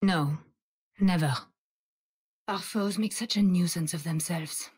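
A woman's voice: lowish voice, slow pace, a little mellow, breathy edge, exaggerated voice. A woman playing a cold, detached female assassin in a video game. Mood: icy.

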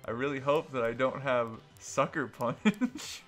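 Animated, bright-voiced man bantering over a game. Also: medium pitch, unhurried. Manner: jokey, excitable. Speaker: player commentating a game video. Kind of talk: casual gameplay banter with friends. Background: game music.

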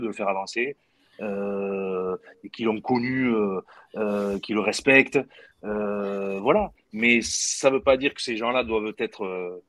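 Low-voiced man, halting video-call talk. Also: fairly bright tone, no echo. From southwest France. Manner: hesitant, many fillers and repeated words. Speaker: guest, former footballer. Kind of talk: casual video-call discussion. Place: room at home. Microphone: video call webcam.

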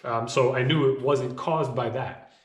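A man in his thirties talking casually to camera from a small home office, rambling a bit with fillers, his voice slightly hoarse from a cough. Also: medium pitch, steady tone, a little slow. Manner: conversational, rambling with fillers and restarts. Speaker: vlogger. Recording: echoey room.

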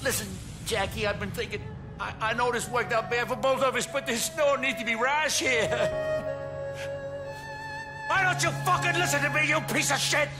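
An actor playing a desperate, foul-mouthed middle-aged mobster in a video game, swinging between pleading and venom. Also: male voice, very high voice, normal speed. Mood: furious. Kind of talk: video game drama acting. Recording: loud music behind the voice.

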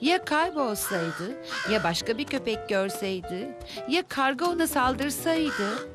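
A woman narrates a dubbed children's cartoon in a calm storytelling voice. Her voice sits a little high. Clear music plays under her. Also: takes it slowly. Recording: with background music.

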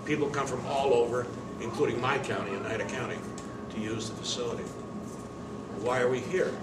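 An older man making an emphatic, indignant statement at a press conference, pausing deliberately between phrases, heard from across an indoor room. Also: mid-range voice, fairly even intonation. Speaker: managing member of the facility's owners. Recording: roomy, distant, noisy camera audio.

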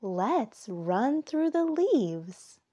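A woman's voice: fairly high pitch, very animated, extremely slow, mellow, lo-fi sound, voice pushed up, exaggerated voice. A woman voicing a cheerful, playful young female mouse in a storybook, eager and exclaiming. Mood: excited, eager.

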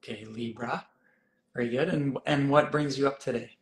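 Calm man guiding a live stream, roomy. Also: mid-range voice. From South Africa, raised in Canada. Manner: calm, gently guiding, slow deliberate phrasing. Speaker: wellness host. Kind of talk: live-stream coaching chat. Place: room indoors.

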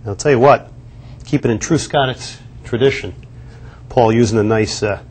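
A man's voice: mid-range voice, normal speed, slightly rough. A man, a sports TV host giving a chatty walking tour of a locker room on a lapel mic. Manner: upbeat, chatty, pointing things out as he walks. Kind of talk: walk-through tour presenting. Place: indoor locker room and hallways.

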